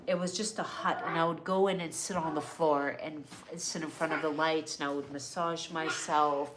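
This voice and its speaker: A woman's voice: low-pitched, fairly even intonation, normal speed, background noise. Chatty woman hosting a wellness live. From born in Canada, grew up in California. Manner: chatty, earnest, reads comments aloud. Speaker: wellness livestream host. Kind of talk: casual live Q&A chat.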